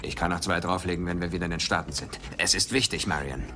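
A man playing a rugged adventurer archaeologist in a dubbed film, with a low voice. He is self-assured, dry and skeptical, and pushes his point plainly. Background noise sits clearly in the old soundtrack. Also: fairly even intonation, fast, lightly acted. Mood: insistent, bargaining.

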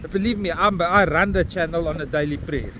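A blunt, swearing South African man in his fifties, with a slightly high voice, rants into a phone held at arm's length. He is outside on a beach, and clear wind and outdoor noise run under his voice. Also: brisk, a bit bright. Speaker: vlogger. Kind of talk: outdoor walking vlog. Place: windy beach at an estuary mouth, outdoors. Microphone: phone camera held at arm's length.

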